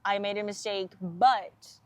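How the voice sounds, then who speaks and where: A woman from Guatemala, a PhD student guest on a podcast, talking frankly and relaxed, with frequent "like". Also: medium pitch, measured pace. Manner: relaxed, frank, uses filler "like" often. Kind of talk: casual interview chat.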